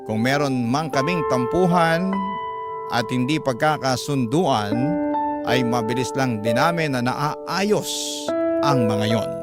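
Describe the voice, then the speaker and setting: A man's voice: medium pitch, takes it slowly. A man reading a scripted first-person story as a radio storyteller. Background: loud instrumental music.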